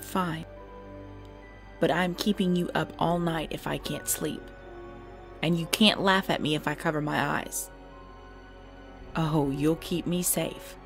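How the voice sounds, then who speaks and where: A woman playing a cute, easily scared girlfriend in an intimate ASMR roleplay, pleading and whiny, often hushed. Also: low-pitched, steady tone, slow pace, pauses often, soft background music. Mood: reluctant, playful. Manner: pleading, whiny, dropping into hushed self-talk. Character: cute, jumpy girlfriend frightened by scary movies. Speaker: roleplay audio performer.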